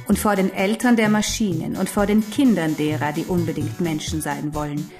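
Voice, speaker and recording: A woman in a radio drama playing a grave, protective mentor who explains things in a measured way. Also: on the low side, steady tone, natural voice. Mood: grave warning. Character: grave protective mentor warning a young one. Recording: studio voice over clear music.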